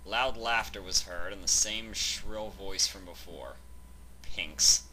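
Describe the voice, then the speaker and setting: A man's voice: low voice, slow pace, bright, forceful tone, rough recording. A man reading scripted fan fiction narration as the storyteller.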